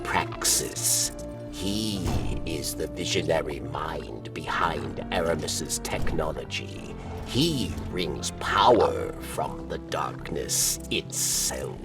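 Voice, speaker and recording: An actor playing a solemn, wary alien warrior ally in a video game, with halting, deliberate phrasing and a gravelly voice. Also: male voice, very deep voice, expressive, distant mic, loud music behind the voice, exaggerated voice. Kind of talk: video game dialogue acting.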